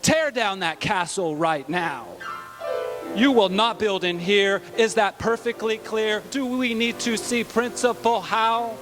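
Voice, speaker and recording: High-pitched strict schoolteacher lady, played by a man. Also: takes it slowly, music in the background. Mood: stern, scolding. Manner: stern, theatrical. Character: strict, easily panicked woman second-grade teacher. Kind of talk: dramatized children's storybook reading.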